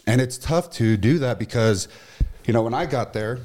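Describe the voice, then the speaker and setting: A young man in his twenties who talks loudly and with emotion, a former football player chatting as a studio guest. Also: mid-range voice, moderate pace. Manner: loud, emotional, animated delivery. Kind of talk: casual radio chat.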